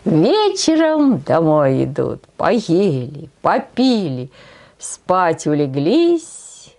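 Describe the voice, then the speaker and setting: An older woman telling a folk tale expressively, in an unhurried, folksy way with homely diminutives. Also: sits low, huge pitch swings, dark tone. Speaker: storyteller on a channel. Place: small decorated room indoors.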